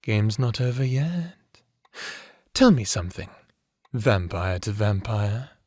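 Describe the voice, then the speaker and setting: Cruel vampire ex, played by a man: unhurried, sadistic, drawling taunts, close dry sound, for audio drama. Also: fairly low pitch, big pitch swings, a little mellow, no echo, full character voice. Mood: sly, savoring. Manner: taunting, drawling, savoring words, mocking chuckles. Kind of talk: dramatic audio roleplay acting.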